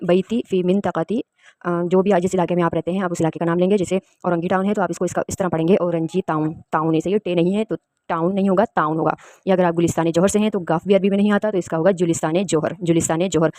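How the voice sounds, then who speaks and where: A woman's voice: on the low side, a little mellow, no echo. A woman from Pakistan, teaching an Arabic lesson and patiently explaining each word. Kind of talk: spoken language lesson.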